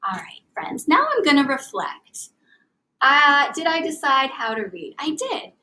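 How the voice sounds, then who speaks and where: Female primary teacher, higher-pitched voice, expressive and clearly child-directed, for a classroom read-aloud lesson. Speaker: elementary reading teacher.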